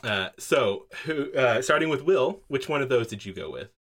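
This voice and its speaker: A man acting as game master for a tabletop game, explaining the rules casually with lots of fillers and quick laughs. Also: mid-range voice. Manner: lively, laughs easily, many fillers. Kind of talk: running a tabletop game session.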